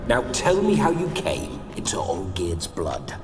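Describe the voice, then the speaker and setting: A man's voice: sits low, huge pitch swings, unhurried, heavily acted. An actor playing a roguish, bawdy ghost of a dead nobleman in a video game, with theatrical, swaggering, old-fashioned speech. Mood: wry, boastful. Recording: long reverb, music behind.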